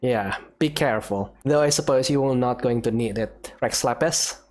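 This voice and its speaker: A man's voice: medium pitch, normal speed, a little mellow. A man hosting a game playthrough, giving casual, musing, somewhat hesitant commentary and reading in-game text aloud. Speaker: gaming host, player.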